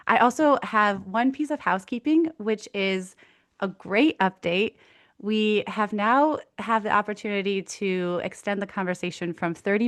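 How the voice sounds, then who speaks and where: A woman's voice: medium pitch, fairly bright tone, no echo. A woman hosting an online event, polished and welcoming, with the odd filler. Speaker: event host.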